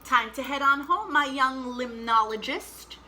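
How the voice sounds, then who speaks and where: Lady reading as a patient picture book mama, explains stuff, encouraging, clear bright tone, bit high. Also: a little slow, slight background noise, full character voice. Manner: instructive, encouraging. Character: patient, encouraging mother explaining pond creatures to her son. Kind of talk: children's picture book read-aloud.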